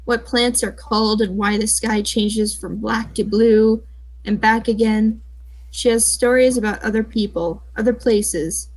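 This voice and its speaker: A woman's voice: on the high side, fairly even intonation, a little slow, darkish tone, poor audio. A young woman casually reading a fan comic aloud with friends, breaking off to joke and chat. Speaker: comic dub reader.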